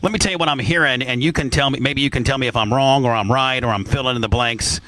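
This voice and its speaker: A man's voice: medium pitch, fast talker, pushed and bright, no echo. A male talk radio host in a close, dry studio sound. He banters casually and swears freely, bluntly needling and repeating questions to press for detail.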